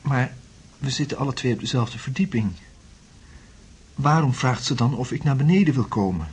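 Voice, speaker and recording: A man's voice: medium pitch, animated, moderate pace, slightly rough. An actor in a radio drama playing a troubled, hesitant, apologetic man, on a noisy recording. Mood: uneasy, confused. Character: troubled, anxious man, ashamed and unsure of himself.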